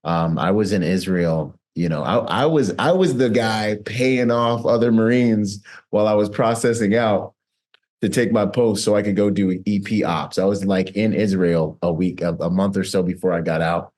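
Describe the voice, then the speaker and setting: A man in his late thirties giving an energetic, conversational presentation on a video call as host. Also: on the low side, normal speed. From Bahamas, grew up in Washington State. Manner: energetic, conversational, self-promoting.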